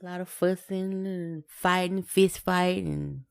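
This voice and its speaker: A woman's voice: fairly low pitch, steady tone, slow pace, gravelly, clean tone. An American woman chatting informally as a podcast co-host, often chiming in with short agreeing replies. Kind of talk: casual conversational chat between spouses.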